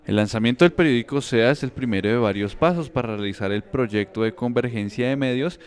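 A man narrates a student news bulletin in an even, measured tone. His voice sits in a middle range. It is a studio voice-over. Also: mid-range voice. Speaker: news anchor.

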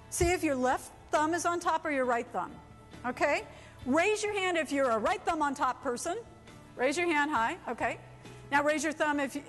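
Energetic woman giving a keynote. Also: high-pitched. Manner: energetic, emphatic, gestures a lot. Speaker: keynote speaker. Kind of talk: motivational keynote talk. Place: conference stage. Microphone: headset mic.

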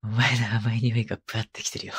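A man playing a tender, clingy, teasing young husband in an intimate ASMR voice drama, speaking softly and playfully close to the microphone. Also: fairly low pitch, flat delivery, rapid-fire. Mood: coaxing, intimate. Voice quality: soft, breathy. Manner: murmuring, playful, coaxing. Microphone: close microphone, binaural.

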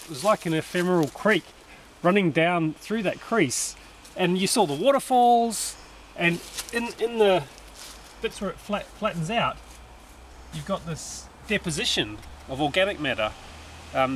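A man's voice: on the high side, fairly bright tone, slight background noise. Curious Australian man in a backyard. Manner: curious, thinking aloud with many restarts. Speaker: permaculture designer. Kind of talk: casual explanatory vlog. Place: outdoors in a backyard under a large tree. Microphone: handheld camera at arm's length.

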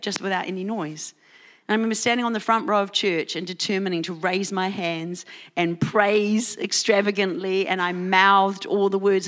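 A woman pastor with a New Zealand accent delivers a sermon at a church service. She tells a personal story with lively, self-mocking asides, in a voice of middling pitch. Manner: storytelling, animated asides with laughs.

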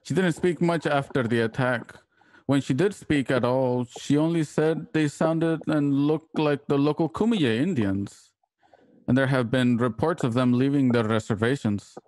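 A man playing a gentle, earnest and concerned frontier husband in a radio drama. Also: medium pitch, a little slow, dry recording, close to an everyday voice. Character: tender, concerned frontier husband who mixes in Spanish endearments.

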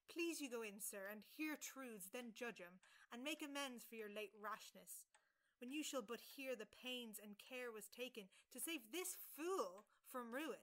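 A scornful, upright gallant acted by a woman in an old stage comedy. The voice is fairly high, with a formal, scolding delivery. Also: fairly bright tone. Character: stern, scornful gallant defending honest friends. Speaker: amateur actor. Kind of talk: early modern drama acting.

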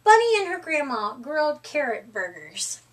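A middle-aged woman reading a children's picture book aloud in an expressive, deliberate storytelling manner. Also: high voice. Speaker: storytime reader. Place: small room at home, indoors. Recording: slightly distant microphone.

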